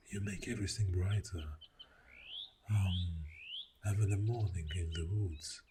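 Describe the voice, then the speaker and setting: Clingy, loving boyfriend, male performer, ASMR roleplay: low, soft, whispery, extremely unhurried, clear nature sounds behind. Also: noisy background. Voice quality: soft, deep, breathy, often whispering. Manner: slow, intimate, murmuring, lingering pauses. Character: clingy, tender boyfriend waking at a campsite. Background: birdsong and outdoor ambience.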